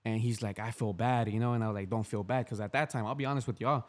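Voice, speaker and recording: Fast-talking man in his thirties. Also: lowish voice, steady tone. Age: 30s. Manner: candid, rambling, with many restarts and slang. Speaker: podcast guest, street activist. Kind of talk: casual podcast chat.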